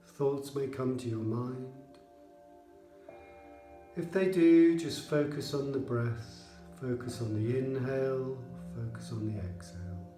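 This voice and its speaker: A man with a dark, relaxed voice guides listeners through a meditation. He speaks in measured, soothing phrases with pauses between them. The sound is roomy, with gentle music behind him. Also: medium pitch. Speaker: yoga nidra instructor.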